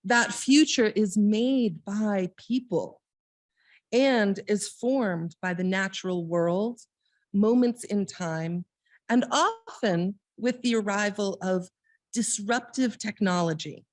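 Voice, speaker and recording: Measured woman leading a service, dry sound. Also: mid-range voice, dry recording. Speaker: service leader. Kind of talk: reading aloud and introducing speakers at a church service.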